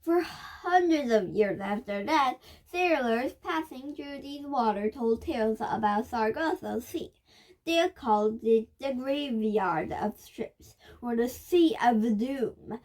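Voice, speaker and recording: A female child reads a book aloud in a high voice. She takes it slowly and carefully, word by word, with the odd halting stumble along the way. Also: lively intonation, a little mellow, poor audio. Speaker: reader of a children's nonfiction book.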